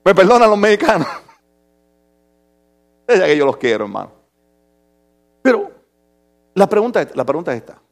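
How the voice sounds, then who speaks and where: A man preaching an animated sermon to a congregation, theatrical and humorous, swinging between murmured asides and drawn-out shouts. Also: high voice, gravelly. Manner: humorous, mimics people in dialogue.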